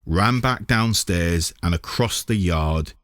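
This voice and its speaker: A man narrates a children's storybook in a very low register. His reading is slow and measured, recorded close and dry with no room around it. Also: a bit bright, no echo. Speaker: reader of a children's book.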